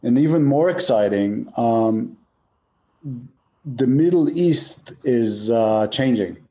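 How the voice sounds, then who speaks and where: Mellow-voiced male executive from Israel. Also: mid-range voice, expressive, slow. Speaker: data center company executive. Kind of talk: interview answers.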